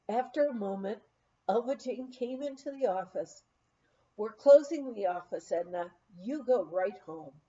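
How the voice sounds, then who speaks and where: Woman memoir reader, higher voice, mellow tone; gentle storytelling, light character voicing; dull lo-fi recording. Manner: gentle storytelling, voicing family members in dialogue. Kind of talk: audiobook-style memoir reading.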